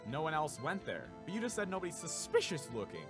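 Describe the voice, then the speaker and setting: A man's voice: on the high side, wildly swooping pitch, fast, music in the background. A man giving casual gameplay commentary as he plays through a game. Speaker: voice actor playing a game.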